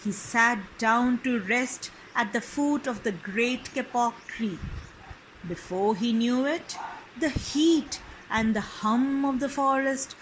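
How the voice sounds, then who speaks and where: A woman storyteller reading a children's book expressively and deliberately, phrase by phrase. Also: on the high side, a little hiss or hum, low-quality recording. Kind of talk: expressive scripted storybook narration.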